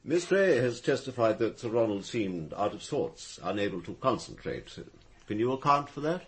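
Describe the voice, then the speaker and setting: An actor playing a formal official questioning witnesses at an inquest in a radio drama. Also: male voice, mid-range voice, sing-song, normal speed, lightly acted. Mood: probing, formal. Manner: formal, probing. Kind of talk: British radio drama acting.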